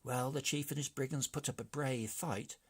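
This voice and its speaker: A man's voice: medium pitch, fairly even intonation, moderate pace, breathy edge. Man playing an earnest young captive storyteller. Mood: dramatic. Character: inventive young captive boy improvising a tall tale. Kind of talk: storybook character voice.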